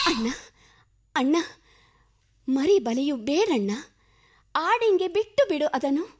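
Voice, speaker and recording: A woman's voice: sits high, a little mellow. An actress in a radio play playing a compassionate village woman, pleading in impassioned, poetic, old-fashioned literary speech. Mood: desperate, resolute.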